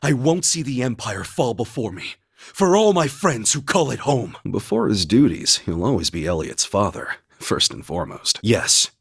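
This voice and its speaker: An actor playing an earnest, steadfast young warrior-knight in a video game, speaking in a solemn, measured, formal manner. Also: male voice, medium pitch, big pitch swings, creaky, slightly rough, dry recording, studio quality, full character voice. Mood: resolute. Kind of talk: dramatic English video game voice acting.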